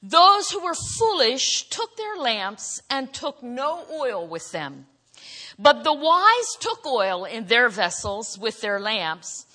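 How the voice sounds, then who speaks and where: Woman preaching in church, loud voice, kinda high and bright, slow delivery. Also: sing-song. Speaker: guest preacher. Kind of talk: sermon. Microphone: handheld or stand microphone. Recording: church hall reverb.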